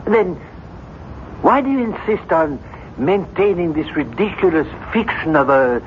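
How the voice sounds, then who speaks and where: Stern sceptic doctor, high voice, slow measured questioning, 1970s radio play with faint noise. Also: male voice, expressive. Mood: sceptical, impatient. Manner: probing, dismissive. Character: sceptical, brisk-minded male doctor, stern with his patient. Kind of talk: 1970s radio drama acting. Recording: muffled old radio recording, faint noise.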